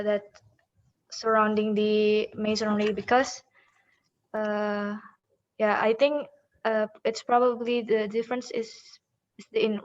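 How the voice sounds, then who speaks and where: A woman student whose first language is Indonesian asks a question hesitantly, searching for words over a video call. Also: on the high side. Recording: dull lo-fi call audio.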